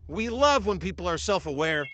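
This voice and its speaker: A man's voice: sits high, sing-song, moderate pace, sharp tone, slight background noise. A man giving wry, joking reaction commentary as the commentator on a video.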